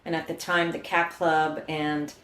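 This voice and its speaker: Lively storytelling woman, low voice. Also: a little slow, echoey room, a little hiss or hum. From New York. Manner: lively storyteller, acts out quotes and bursts into song. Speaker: guest. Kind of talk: casual interview chat.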